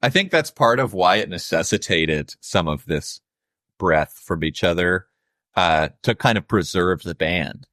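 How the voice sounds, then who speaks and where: Young man, very low voice, reflective musician guest, loose chatty delivery, dry close sound. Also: expressive, a little slow, a bit bright, no echo. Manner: reflective, loose, many fillers. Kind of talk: casual interview talk.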